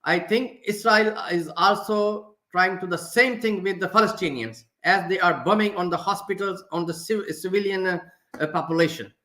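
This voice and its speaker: A Pakistani man with a high, sharp voice speaks as a panelist. His first language is Urdu, so his phrasing is halting and not quite fluent. The room around him echoes. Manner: halting, non-fluent English. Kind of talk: extemporaneous panel discussion.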